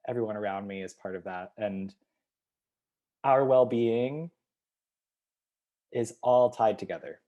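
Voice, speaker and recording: Mellow-voiced man, reflective guest. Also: on the low side. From United States, Ohio. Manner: reflective, thinks aloud with many fillers and restarts. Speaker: community organizer, guest. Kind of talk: interview answers.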